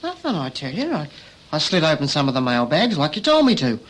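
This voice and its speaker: An actor playing a hapless, defensive junior train robber in a radio drama. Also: male voice, on the high side, huge pitch swings, a little fast, a bit bright, rough recording. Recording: heavy noise behind the voice.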